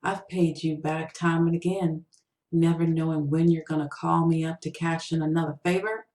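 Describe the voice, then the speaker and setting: A woman's voice: deep voice, fairly even intonation, normal speed, darkish tone, lightly acted. Audiobook role: woman as solemn male ex-soldier, weary, firm, reproachful; roomy echo on the recording. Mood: resolute. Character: Danny, solemn, weary man, superstitious ex-serviceman. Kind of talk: short-story audiobook character voice.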